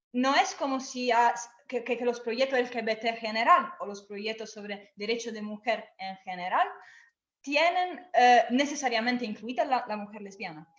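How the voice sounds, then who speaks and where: A woman who is not a native Spanish speaker talks on an online panel, haltingly, often repeating words as she searches for phrasing. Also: fairly high pitch, fairly bright tone. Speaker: activist on a panel. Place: online video call. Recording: muffled lo-fi online call audio.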